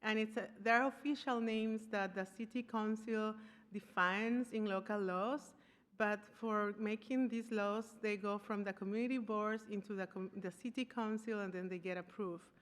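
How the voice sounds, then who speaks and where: A woman's voice: medium pitch, steady tone, normal speed. A woman from Costa Rica giving a conference talk, often stopping to restart her phrases and searching for words. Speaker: conference speaker. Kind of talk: conference presentation. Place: conference room. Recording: live talk with long hall reverb.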